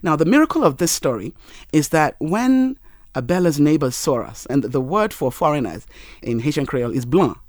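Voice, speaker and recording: A man, a Yoruba speaker, telling his life story in an interview in an earnest, measured way. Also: on the high side, sing-song, no echo. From Nigeria. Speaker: interview guest.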